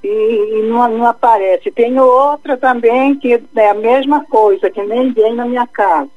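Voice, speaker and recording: An older woman from Mid-West Brazil talks haltingly and sorrowfully, breaking off and restarting. Her voice comes through a narrow, tinny phone line. It sounds like a call-in to a religious program. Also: on the high side, soft background music. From Cuiabá, Mato Grosso, Brazil. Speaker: caller.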